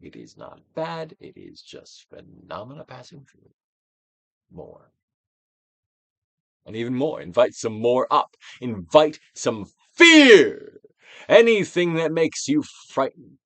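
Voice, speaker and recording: A man giving a dramatic, Shakespearean-style reading of a self-help book, deliberate and theatrical, with drawn-out emphasis. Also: sing-song, no echo. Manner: theatrical Shakespearean delivery, swinging from hushed to booming. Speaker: audiobook reader.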